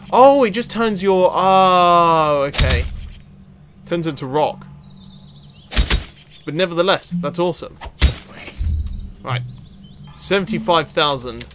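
A man's voice: sits high, slow pace, long pauses, noisy background. A man giving casual let's-play commentary, exclamatory and talking to the game's characters as he plays. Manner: exclamatory, talks to the game characters. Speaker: gaming video host. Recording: game music behind the voice. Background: video game music.

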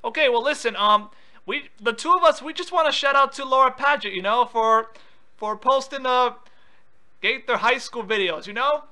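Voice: medium pitch, moderate pace, pushed and bright. A prank caller on a phone call, confrontational and insistent with questions.

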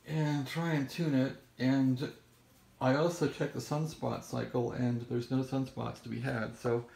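A middle-aged or older American man talks through a casual show-and-tell from behind a handheld camera. His voice is rough and husky, with a gravelly fry. He rambles and muses in an unscripted way, at a middling pitch. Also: level tone, measured pace, darkish tone. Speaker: vlogger showing a vintage radio. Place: bedroom in a house. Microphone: handheld camera, close behind it.

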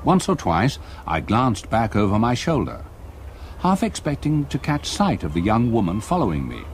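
An older man with an English accent, an actor playing a grave retired solicitor narrating his haunted memories in a radio drama, measured and reflective. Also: lowish voice, wildly swooping pitch, a little hiss or hum. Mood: brooding. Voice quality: husky. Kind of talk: literary first-person radio drama narration.

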